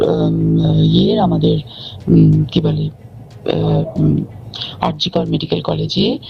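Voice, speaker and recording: A very deep, heavily gravelly voice with a West Bengal Bengali accent, recorded as a muffled phone call. The speaker recounts things in an indignant, emphatic way, circling back to rephrase. Music plays underneath.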